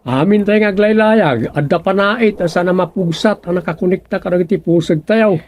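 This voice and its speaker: A male actor playing an excitable, dramatic village man in an Ilocano radio comedy drama, with an Ilocano Filipino accent and a creaky voice. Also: sits high, normal speed, lightly acted. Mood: earnest, explaining.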